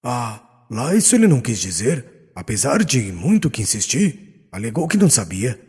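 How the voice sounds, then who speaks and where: A man playing a humble, obedient servant with a deferential manner and a husky voice in a dramatized audiobook. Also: mid-range voice, very animated, normal speed, darkish tone. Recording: long hall-like reverb.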